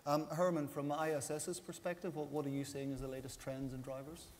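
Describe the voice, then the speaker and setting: A man moderating a conference panel, brisk and stumbling on words. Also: fairly high pitch, flat delivery, a little mellow. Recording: reverberant hall, faint noise.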